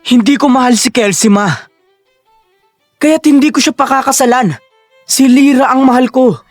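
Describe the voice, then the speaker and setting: A man's voice: extremely high pitch, moderate pace, no room sound, soft background music. A man playing a devoted young rich heir who speaks with earnest resolve in an audio drama. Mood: defiant.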